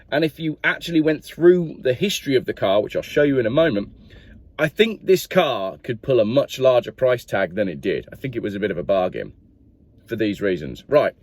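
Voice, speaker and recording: A man's voice: mid-range voice, no echo. A man talking through a car's history and receipts in a casual, chatty review vlog, often asking the viewer questions. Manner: chatty, rambling, asks viewers directly. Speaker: car reviewer.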